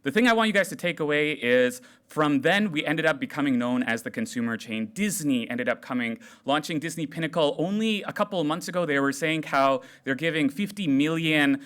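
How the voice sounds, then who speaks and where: A man giving an energetic, jokey live tech talk to a hackathon crowd on a stage microphone. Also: fairly high pitch, a little fast, hardly any pauses. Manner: loud, animated, jokey with the crowd. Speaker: developer relations speaker. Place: large room at a hackathon event.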